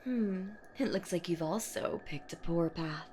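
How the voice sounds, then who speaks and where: A woman's voice: on the low side, unhurried, a little mellow, slight background noise, lightly acted. A woman voicing a menacing, playful centuries-old boogeywoman in a horror audio roleplay, with a theatrical, teasing manner that often slips toward a whisper. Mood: gleeful, taunting. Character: menacing, playful centuries-old female boogeywoman monster hunting her prey.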